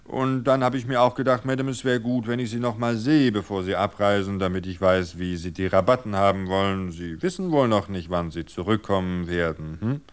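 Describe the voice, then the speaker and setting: An old village gardener from a storybook audio drama, acted out by a male narrator. He talks in a folksy, unhurried way, chatty and easygoing, and grumbles with old-fashioned opinions. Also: lowish voice, smooth, close to an everyday voice. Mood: chatty, deferential. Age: older.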